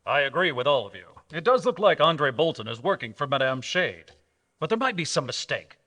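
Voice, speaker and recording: A man plays a resolute, fair-minded hero of a radio adventure serial. His voice is bright and forceful, set a little high, and he reasons firmly and steadily. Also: big pitch swings, a little fast, long reverb tail, exaggerated voice. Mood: calm, resolute. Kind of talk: old-time radio acting.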